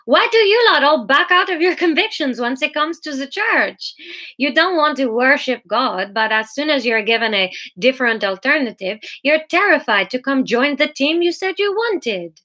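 A woman's voice: sits high, animated, normal speed, bright, forceful tone, voice pushed up. A woman voicing a relentless, scornful female devil in an audiobook, taunting and persuading with mock exasperation. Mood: mock exasperated.

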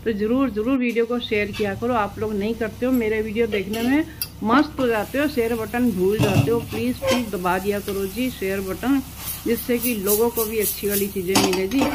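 A woman's voice: a little high, fairly even intonation, a little fast. A woman hosting a cooking video in her home kitchen, talking homely and instructive and repeating words for emphasis. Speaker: cooking channel host. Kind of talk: chatty cooking narration. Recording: loud sizzling pan noise. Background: frying and stirring sizzle.

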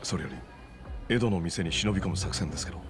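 An actor playing a polite, easygoing middle-aged former moneylender in a video game, understated and streetwise. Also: male voice, low voice, lively intonation, fast, noisy background. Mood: wry. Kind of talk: Japanese video game voice acting.

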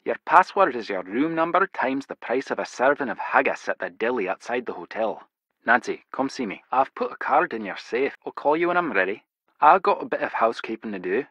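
A secretive video game spy contact. His voice is slightly husky and swings widely in pitch, with a dry, theatrical edge. The sound is close and dry. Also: big pitch swings, a little fast, a bit bright, dry recording. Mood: brisk, guarded. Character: secretive male spy contact, brisk and wry.

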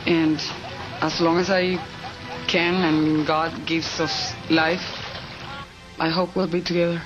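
A woman's voice: sits low, flat delivery, slow pace. A woman speaking personally in an interview about her life. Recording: muffled old TV tape. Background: music.

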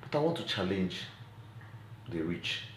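Low-pitched middle-aged Nigerian man, roomy sound. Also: sing-song, a bit bright. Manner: deliberate, emphatic, rhetorical questions. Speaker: child welfare advocate and presenter. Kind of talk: earnest advocacy vlog to camera. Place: small indoor room at home. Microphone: phone at arm's length. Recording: roomy echo, faint background noise.

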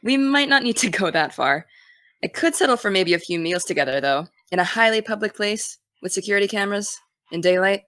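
A woman's voice: mid-range voice, lively intonation, a little fast, a bit bright, no room sound, close to an everyday voice. Anxious, caring teenage girl played by a woman, dry sound. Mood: uneasy, wry. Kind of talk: naturalistic audio drama dialogue.

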